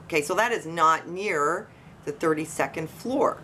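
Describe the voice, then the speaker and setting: A woman with a fairly low voice hosts an informal investigative commentary for online viewers. She speaks conversationally, posing questions and speculating as she goes. A faint buzzing noise sits behind her voice. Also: lively intonation, a little slow, pushed and bright. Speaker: online video host. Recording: noisy, buzzing tree-cutting outside. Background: buzzing from tree cutting outdoors.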